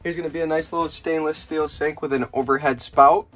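A young man giving a relaxed, plainspoken walkaround tour of a small camping trailer, filmed on a handheld phone outdoors and then inside. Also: a little high, fairly quick, soft background music. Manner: relaxed, plainspoken, pointing things out as he goes.

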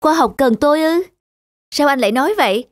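An actress playing a modest, principled young woman scientist in an animated drama, earnest and restrained. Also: high-pitched, brisk, no echo, studio quality. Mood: reproachful. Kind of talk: dubbed animated drama dialogue.

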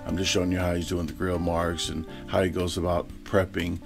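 A man's voice: deep voice, flat delivery, brisk, vocal fry, music in the background. A man narrating a food review as a casual voice-over, rambling and laid-back, full of ums and uhs.

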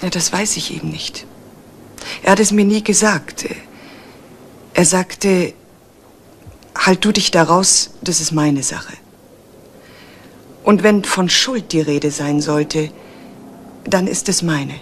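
Anxious grown daughter, earnest, hesitant, insistent, crime radio play, faint background noise. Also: female voice, on the low side, slow pace, long pauses. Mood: troubled, earnest. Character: earnest, anxious adult daughter of a murdered professor. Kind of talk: 1980s German crime radio drama acting. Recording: faintly noisy old radio recording.

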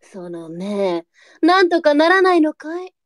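Woman doing a scolding old-time brothel madam for a radio play, blunt, highish voice, no room echo. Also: big pitch swings, unhurried, clean studio sound. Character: stern, nagging brothel madam, motherly underneath.

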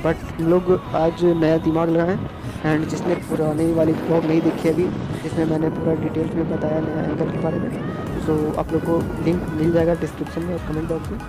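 A man's voice: on the high side, completely flat delivery, brisk, loud music behind the voice, low-quality recording. A young man from India narrating a casual moto vlog from a scooter in traffic, recorded on a phone on his helmet mount. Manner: rambling, repeats himself, chatty. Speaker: moto vlogger. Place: outdoors on a scooter in road traffic. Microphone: phone on helmet chin mount.

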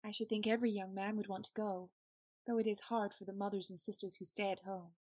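An actress playing a gentle, modest young governess in an audio drama, earnest and soft. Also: female voice, mid-range voice, steady tone, quick pace, dry recording, studio quality, natural voice.

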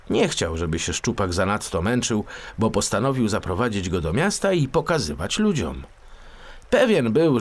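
Very animated male storyteller, lowish voice. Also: husky. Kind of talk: expressive scripted children's story narration.

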